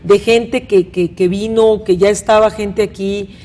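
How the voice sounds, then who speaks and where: A woman's voice: medium pitch, fairly even intonation, unhurried, warm tone, slight background noise. A woman with a northern Mexican accent hosting a conversational panel interview, talking in a rambling, questioning way with frequent tag questions. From Monterrey, northern Mexico. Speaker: talk show host.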